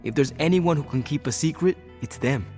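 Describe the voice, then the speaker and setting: A man's voice: a little high, fairly quick, darkish tone, faint music behind, close to an everyday voice. A man playing an easygoing young American hero in a game cutscene, talking in a casual, friendly, reassuring way. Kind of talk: video game cutscene acting.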